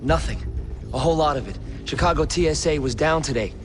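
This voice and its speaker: An actor playing a young tech analyst in a video game, informal, eager and insistent. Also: male voice, on the high side, a little fast, background noise, lightly acted. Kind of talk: cinematic video game acting.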